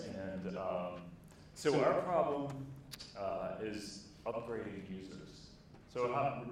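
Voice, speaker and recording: A man's voice: lowish voice, slight background noise. A man in his forties giving a short summary at a conference through a handheld mic, upbeat and asking the audience questions. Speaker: conference session presenter. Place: large conference room. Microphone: handheld microphone. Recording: echoey room heard from a distance.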